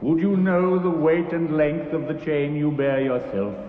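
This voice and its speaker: An actor playing a grave, foreboding ghost with formal, declamatory delivery in an old radio drama. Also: male voice, a little high, phone-quality sound, natural voice. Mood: mournful. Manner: slow-burning, declamatory, formal. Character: solemn, unearthly male ghost, grave and foreboding. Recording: thin vintage radio, long echoing reverb.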